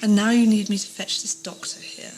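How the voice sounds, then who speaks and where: A woman's voice: mid-range voice, little pitch movement, a little fast, darkish tone, slight background noise, lightly acted. A woman playing a devoted, coolly practical assistant to a villain in an audio drama.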